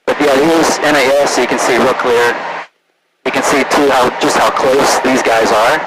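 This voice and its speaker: A man's voice: medium pitch, steady tone, moderate pace. A man working as a private pilot, casually explaining flying as he goes over a headset intercom. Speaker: private pilot narrating his flight. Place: small propeller plane cockpit in flight. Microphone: aviation headset through intercom. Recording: loud engine drone, aviation headset intercom. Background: loud engine noise, radio traffic.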